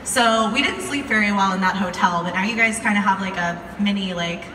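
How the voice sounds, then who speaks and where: A woman's voice: mid-range voice, brisk, sharp tone. Young American woman, casual live storyteller, chatty and informal, distant mic in a reverberant room with some noise. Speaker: video creator telling a story to a live audience.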